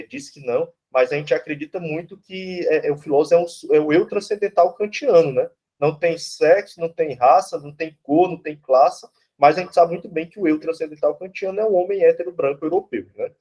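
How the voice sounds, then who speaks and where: Brazilian prof guy, kinda high voice, chatty blunt lecture, keeps saying né. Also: fairly quick, few pauses, mellow. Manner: conversational, tags lines with "né?" and "tá certo?"; blunt, casually swears. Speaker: philosophy professor. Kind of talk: informal lecture.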